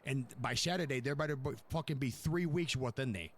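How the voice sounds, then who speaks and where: A man's voice: medium pitch, a little fast, natural voice. A man playing a furious, domineering New York mob boss in an online game roleplay, browbeating and repeating himself with heavy profanity. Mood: angry, demanding.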